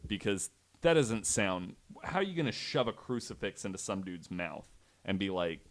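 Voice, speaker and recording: A man's voice: low voice, expressive, moderate pace. A man hosting a podcast, telling a true story in a conversational way with wry, incredulous asides. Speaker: podcast host.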